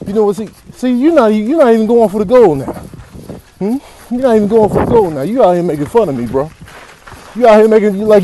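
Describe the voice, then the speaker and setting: Man voicing a cocky cartoonish bull, animated swings, slangy trash talk, comic voiceover, noisy clip behind. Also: mid-range voice, close to an everyday voice. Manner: excitable, repetitive slangy taunting. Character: cocky trash-talking basketball-playing bull, male.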